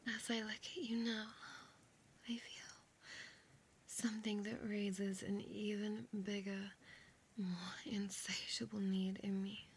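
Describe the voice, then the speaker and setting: Woman acting as female vampire, breathy whisper, unhurried, possessive, tender, seductive, ASMR roleplay, faint background noise. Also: medium pitch, flat delivery. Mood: hungry, possessive. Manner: slow, intimate, coaxing, formal old-fashioned phrasing.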